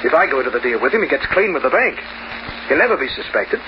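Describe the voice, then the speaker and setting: An actor playing a cynical, streetwise locksmith who narrates in hardboiled slang, in an old-time radio noir style. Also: male voice, medium pitch, big pitch swings, fast, natural voice. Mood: suspicious, cool. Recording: noisy, muffled old radio recording.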